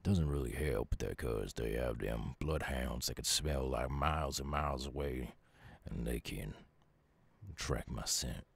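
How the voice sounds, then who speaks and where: A man acts out a gruff Southern werewolf in an intimate audio roleplay. His voice is extremely low, thick with gravel and husky. He talks softly and haltingly, a lonely creature with a gentle streak. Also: no echo, exaggerated voice. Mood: grateful, earnest.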